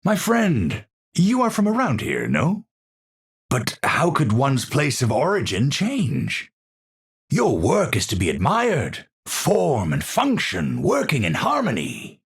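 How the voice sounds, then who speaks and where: An actor playing an eccentric, unstable scientist in a video game, with a Dutch accent, husky voice and theatrical, formal scientific phrasing. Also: male voice, medium pitch, wildly swooping pitch, slow, full character voice. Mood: polite, musing. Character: eccentric, unstable male scientist, lofty and absent-minded. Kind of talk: video game character voice lines.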